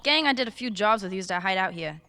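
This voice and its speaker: A cocky rogue from a fantasy audio drama. She talks fast and bluntly at an average pitch. Her manner is streetwise and brash. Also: expressive, sharp tone. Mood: brash, confident. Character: brash foul-mouthed female rogue, cocky.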